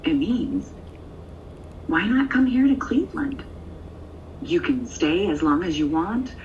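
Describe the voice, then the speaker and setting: Middle-aged mom on the phone, unhurried, lowish, tender and hesitant, dull distant line. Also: female voice, expressive, a little mellow. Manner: hesitant, motherly. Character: tender, eager, unpretentious middle-aged birth mother on a phone call. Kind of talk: naturalistic audio drama acting. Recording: distant muffled phone, faint noise.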